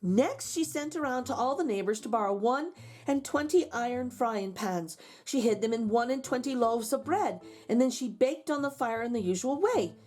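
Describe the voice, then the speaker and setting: A woman reading a children's story aloud in an expressive way with dramatic emphasis. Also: on the high side, normal speed, soft background music. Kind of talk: expressive read-aloud for children with chatty asides.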